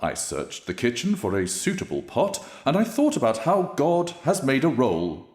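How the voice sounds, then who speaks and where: A man's voice: mid-range voice, wildly swooping pitch, moderate pace, darkish tone, breathy edge, full character voice. A man playing a pompous, pious eighteenth-century clergyman in an audio drama, with a sermonizing, condescending manner. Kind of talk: period audio drama acting, preaching and narration.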